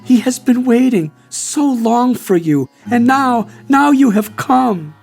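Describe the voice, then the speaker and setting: A man voicing a frail old devotee in an audiobook, soft and cracking, pleading with humble, reverent gratitude. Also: very high-pitched, lively intonation, takes it slowly, creaky, faint music behind, pitched above the performer's own voice, heavily acted. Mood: awed, tearful.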